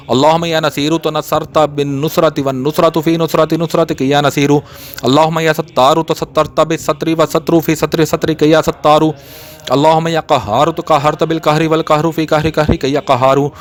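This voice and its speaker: Man reciting prayer at a rapid clip. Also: on the high side. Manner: steady repetitive chanting rhythm. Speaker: supplication reciter.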